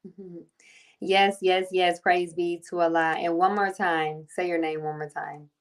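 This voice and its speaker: A woman's voice: on the low side, fairly even intonation, a little slow. A woman hosting a faith podcast, chatting casually and piling on repeated welcomes and praise. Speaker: podcast host.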